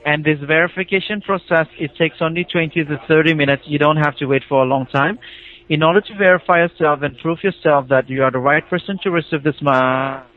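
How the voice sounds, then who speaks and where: Insistent Indian man on tinny phone. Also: a little high. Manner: insistent, repetitive, procedural. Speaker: phone scam caller. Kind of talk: persuasive phone sales-style explanation. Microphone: telephone call. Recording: tinny, band-limited phone line.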